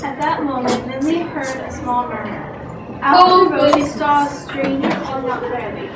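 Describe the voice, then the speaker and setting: A woman's voice: high-pitched, normal speed. A woman narrating a scripted story for an amateur readers theatre. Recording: noisy echoey room from far off.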